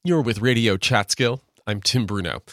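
A man's voice: on the low side, moderate pace, a bit bright. A man presenting a radio show as host, reading scripted introductions.

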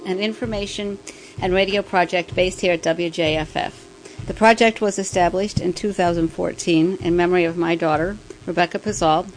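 A woman's voice: lowish voice, rough recording. A middle-aged woman presenting a radio segment with a scripted introduction.

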